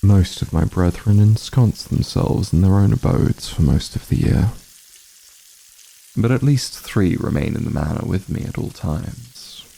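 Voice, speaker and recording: A man's voice: low voice, lively intonation, unhurried, creaky, mellow, breathy edge, heavily acted, low-quality recording. A man playing an ancient, aloof vampire patriarch in an ASMR fantasy roleplay, commanding yet honorable, speaking in formal, haughty, archaic phrasing. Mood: measured, proud.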